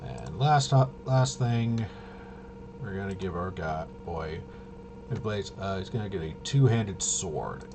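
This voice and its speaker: A man casually talking himself through a tabletop game walkthrough, halting and unscripted, muttering as he thinks. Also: low voice, lively intonation, noisy background. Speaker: host of a tabletop roleplaying video.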